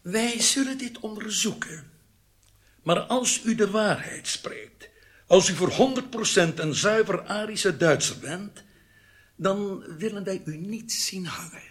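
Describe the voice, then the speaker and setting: An actor playing a menacing Egyptian intelligence interrogator in a radio drama, commanding and deliberate. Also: male voice, sits high. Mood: stern, demanding.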